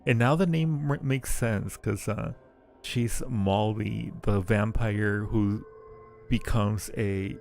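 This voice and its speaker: A man's voice: fairly low pitch, slow, vocal fry, a little mellow, a little hiss or hum. A man hosting a livestream in casual chat, playful and fond of repeating his phrases, with faint music behind him. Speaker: livestream host.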